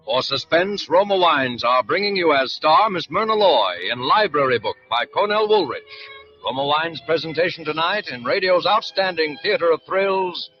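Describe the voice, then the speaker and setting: A man's voice: fairly high pitch, animated, normal speed. Formal vintage radio announcer man. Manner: formal, declamatory. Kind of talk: formal program introduction. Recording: dull 1940s radio broadcast with music.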